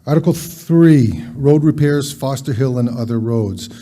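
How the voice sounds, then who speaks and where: A man in a town meeting hall reads a formal warrant article aloud, measured and quiet. His voice is very dark and mellow. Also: mid-range voice. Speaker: town selectman.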